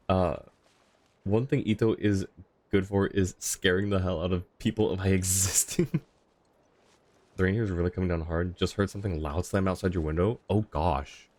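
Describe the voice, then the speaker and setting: A man's voice: deep voice, darkish tone, no room sound. A man giving casual livestream game commentary and chatting with viewers.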